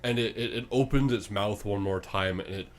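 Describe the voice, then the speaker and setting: A man hosting and narrating a tabletop fantasy game, improvising dramatic, halting descriptions as game master. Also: on the low side.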